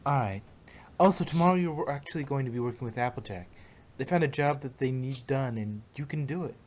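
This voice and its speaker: A man's voice: mid-range voice, fairly quick, slight background noise, lightly acted. A man voicing an earnest, friendly young female unicorn pony in a fan fiction reading.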